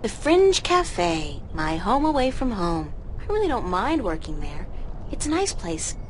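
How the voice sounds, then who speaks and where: A woman's voice: mid-range voice, sing-song, unhurried. A woman playing a sardonic, guarded young art student in a video game, with a wry, sarcastic, conversational delivery. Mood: wry, musing. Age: young adult. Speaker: game protagonist. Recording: clearly noisy ambient background.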